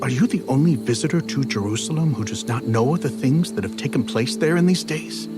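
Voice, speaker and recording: An actor playing a downcast, earnest disciple in a dramatized audio Bible. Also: male voice, mid-range voice, expressive, brisk, close to an everyday voice. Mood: incredulous. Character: Cleopas, downcast disciple recounting a crucified prophet. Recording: studio voice over background music.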